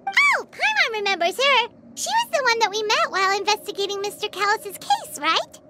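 A woman voicing a small, excitable fairy girl companion in an anime video game, earnest and childlike. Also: very high-pitched, normal speed, heavy background noise. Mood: matter-of-fact. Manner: refers to herself in third person, earnest. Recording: loud music under the voice.

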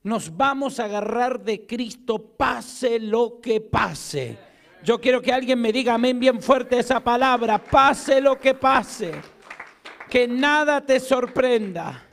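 High-voiced Argentine pastor preaching. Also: male voice, slow, gravelly. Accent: Argentine Spanish. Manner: emphatic preaching, rising loud to call for amens and dropping to a hush. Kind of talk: sermon. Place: church hall with a stage. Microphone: handheld mic at a pulpit, far camera.

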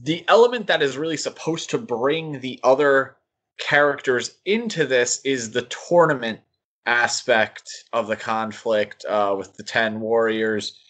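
A man co-hosting a podcast, giving casual commentary in a measured, analytical way. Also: medium pitch, fairly bright tone. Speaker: podcast co-host.

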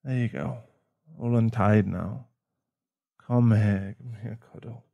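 Man acting a gravelly, mellow protective boyfriend. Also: fairly low pitch, unhurried, no echo, highs cut off. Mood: soothing, worried. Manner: soothing, tender, breathy reassurance. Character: protective, tender male werewolf boyfriend. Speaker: ASMR boyfriend roleplay performer. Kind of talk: ASMR boyfriend roleplay acting.

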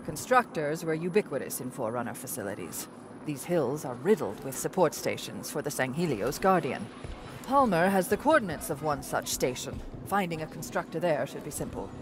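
A cool, precise scientist. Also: female voice, low-pitched, expressive, normal speed, background noise. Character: brilliant aloof older woman scientist. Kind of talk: video game cutscene acting.

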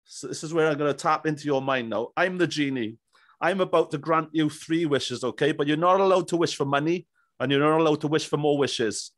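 A man's voice: a little high, a little fast, a bit bright, dry recording, studio quality. A man, hosting a casual, jokey interview. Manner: chummy, jokey. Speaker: podcast host. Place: small indoor home studio. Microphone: studio mic on boom arm, headphones.

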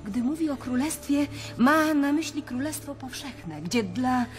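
A woman's voice: sits high, expressive, a little slow. Troubled roman noblewoman, earnest and insistent, radio drama with music behind. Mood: fervent, insistent. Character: governor's troubled wife, earnest, awed, insistent believer. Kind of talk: dramatic radio play acting.

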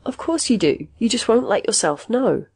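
A woman's voice: fairly high pitch, animated, moderate pace, vocal fry, a little mellow, slightly rough, pitched above the performer's own voice, full character voice. A woman voicing a condescending therapy machine in an audiobook, formal and patiently probing, full of clinical jargon. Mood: calmly insistent.

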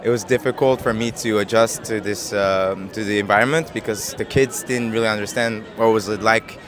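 A man in his twenties from Japan, answering street interview questions in a soft, hesitant way with many fillers and easy laughs, into a handheld mic outdoors. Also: on the low side, fairly even intonation, fairly quick. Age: 20s. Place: busy city square outdoors. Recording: busy street crowd behind.

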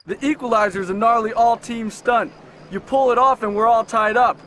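An actor playing a cocky, taunting young skateboard team captain with an American accent, brash and slangy, in a video game. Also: male voice, very high-pitched, steady tone, normal speed, fairly bright tone, slight background noise. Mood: mocking. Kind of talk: video game cutscene acting.

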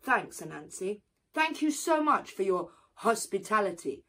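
A woman voicing a meek, polite male turtle who stammers and apologizes, with a husky voice. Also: medium pitch, sing-song, measured pace, pushed and bright, heavily acted. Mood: hurt.

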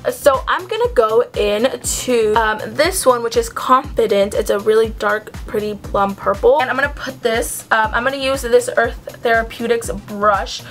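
Chatty young woman with a high voice. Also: moderate pace, few pauses, faint music behind. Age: young adult. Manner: chatty, many ums and likes, earnest advice. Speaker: beauty vlogger. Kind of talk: casual makeup tutorial chat. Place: small home room with shelves, indoors. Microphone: camera at close range.

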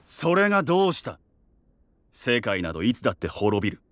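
A man's voice: mid-range voice, very animated, a little fast, a bit bright. An actor voicing an arrogant, indifferent young fantasy king with a haughty, dismissive manner. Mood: cold disdain.

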